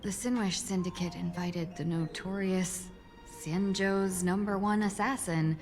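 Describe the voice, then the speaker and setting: Actress doing a polite elegant undercover envoy lady in a video game, formal n measured, music playing behind. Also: on the low side, steady tone, natural voice. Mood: grave, explanatory. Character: poised, courteous female envoy undercover on a mission. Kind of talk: scripted game voice acting. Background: background music.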